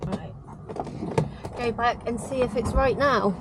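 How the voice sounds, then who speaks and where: A woman's voice: fairly high pitch, takes it slowly. A British woman talking casually as a lorry driver vlogging her work, explaining things in a chatty way. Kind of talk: casual vlog commentary. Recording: vehicle noise behind the voice. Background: engine and vehicle noise.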